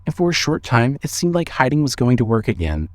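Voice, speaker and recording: A man narrating a scripted suspense story in a measured, dramatic storytelling manner. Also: medium pitch, big pitch swings, no echo.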